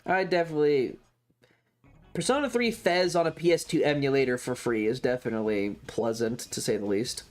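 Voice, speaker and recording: A streamer with a mid-range voice talks in a loose, chatty livestream style. The delivery is opinionated and rambling, circling back to repeat points and swearing casually. Music plays clearly in the background. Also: medium pitch, a little fast. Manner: casually profane. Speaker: variety streamer.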